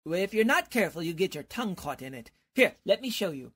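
A woman acting a husky, flustered bumbler. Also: fairly low pitch, sing-song, fast. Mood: cautious. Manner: hesitant, stammering. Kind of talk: old-time radio comedy acting.

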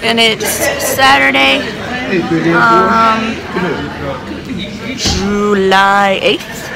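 A woman's voice: fairly high pitch, flat delivery, drawn-out words, gravelly. A young woman vlogging to her phone held at arm's length, chatty and upbeat. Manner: chatty, upbeat, talks straight to a handheld phone. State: hoarse, losing her voice. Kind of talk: casual vlog chat to camera. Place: hotel rooms, restaurant and mall, indoors. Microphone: phone camera held at arm's length. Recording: phone video with crowd chatter and room noise.

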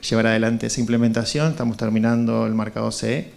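A middle-aged man with an Argentine accent, pitching a project and answering questions in a halting, searching way into a handheld mic. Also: little pitch movement, vocal fry, a little mellow. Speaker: startup founder presenting. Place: indoor conference room with small seated audience. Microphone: handheld microphone.

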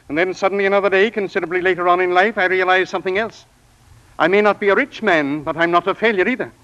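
A dull vintage radio recording of an older male supervisor. His voice is high, his manner formal and deliberate, stern yet kindly. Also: a bit bright. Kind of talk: 1940s radio acting.

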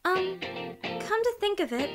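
A woman's voice: very high voice, big pitch swings, measured pace, music in the background, heavily acted. A woman voicing an earnest, outspoken teenage schoolgirl in a game dub. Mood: uneasy. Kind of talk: visual novel game dub.

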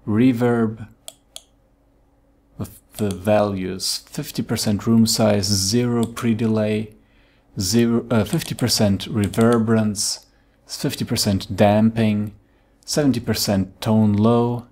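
A man narrating a step-by-step software tutorial in a dry, matter-of-fact way. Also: fairly low pitch.

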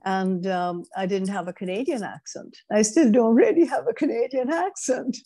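An older woman from Grenada with a British-Caribbean accent, telling her life story in a measured, thoughtful way with frequent restarts, on a video call through earbuds. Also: mid-range voice, warm tone. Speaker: guest speaker. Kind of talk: reflective interview storytelling. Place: home room, indoors. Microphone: wired earbuds on a video call.

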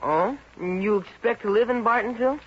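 Male actor as teenage schoolboy, high-pitched, youthful, eager, cheeky, courteous, old radio comedy, muffled recording. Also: moderate pace, lightly acted. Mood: cheeky, earnest. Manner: youthful, polite but cheeky. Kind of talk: 1950s radio comedy acting. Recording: dull, noisy 1950 radio recording.

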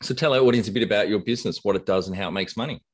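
Middle-aged male host, very low voice, relaxed and halting, webcam call. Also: husky. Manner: relaxed, halting, restarts his sentences. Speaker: podcast host. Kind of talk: podcast interview hosting. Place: bright room with windows. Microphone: video call webcam.

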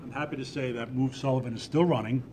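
A man's voice: mid-range voice, steady tone, fast talker, background noise. A man, a transit company president, answering town hall questions in a conversational way with many fillers.

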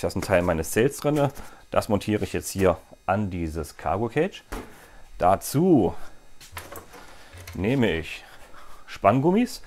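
A man's voice: deep voice, a little hiss or hum. A man in his forties chatting casually as he fits parts to a bike in a small workshop, with jokey asides. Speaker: bike gear vlogger. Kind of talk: hands-on product demo.

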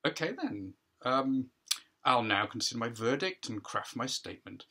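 A man reads the part of an imperious tribunal judge in a stage play. His voice is husky and bright, with a very lively pitch, and he gives stern, impatient orders. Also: moderate pace, exaggerated voice. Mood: officious. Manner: stern, bossy, clipped commands.

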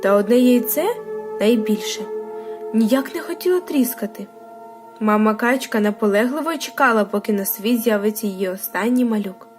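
A woman's voice: fairly high pitch, normal speed, darkish tone. A woman reading a scripted children's bedtime story as a storyteller. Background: music.

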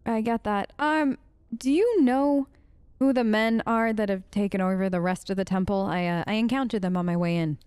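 A woman's voice: mid-range voice, normal speed, a little mellow, smooth, no echo. A woman hosting a let's play with casual, wry, hesitant role-play commentary, talking in character.

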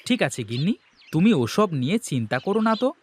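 A man's voice: sits high, big pitch swings, fast, slight background noise. A man playing a scheming cartoon village husband. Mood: plotting. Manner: coaxing, boastful. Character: scheming village husband, greedy for quick riches. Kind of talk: cartoon voice acting.

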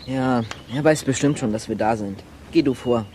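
A man's voice: mid-range voice, moderate pace, mellow, natural voice. An actor playing a curious, cheeky, articulate boy detective in a children's radio play. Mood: curious, uneasy. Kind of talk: German audio drama acting. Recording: clearly noisy background.

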